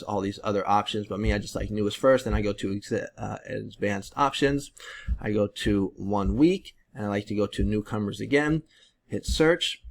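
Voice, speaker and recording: A man in his thirties talks through a tutorial in a loose, rambling way, full of ums and likes. His voice sits low with a gravelly fry, and it is strained and fading as he loses it. He talks into a webcam in a room at home. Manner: relaxed, rambling, many fillers. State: losing his voice, clearing his throat. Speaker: print-on-demand seller and tutorial creator. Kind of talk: casual screen-share tutorial.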